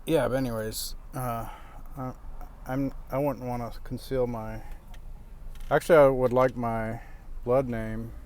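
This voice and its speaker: Brief: man, mid pitch, slow halting delivery with many restarts, traffic noise behind. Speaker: vlogger. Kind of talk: casual rambling monologue. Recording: steady outdoor traffic noise.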